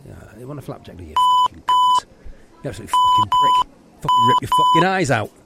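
A man's voice: very high voice, huge pitch swings, fast, heavy background noise, voice pushed up, full character voice. A man playing a meek, stammering coffee shop worker in an improvised comedy sketch, repetitive and over-apologetic but prone to sweary rage. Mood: violent rage.

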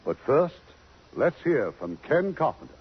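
Animated radio host, muffled old broadcast. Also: male voice, fairly high pitch, unhurried, slightly rough. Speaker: radio show host and narrator. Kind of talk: 1950s radio scripted introduction and narration.

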